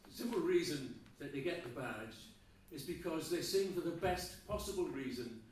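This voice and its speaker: Lively man speaking in a very echoey hall. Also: a little high, slight background noise. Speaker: award presenter and event speaker. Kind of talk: informal live stage address. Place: large indoor festival venue, live audience. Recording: echoey hall, distant mic, crowd.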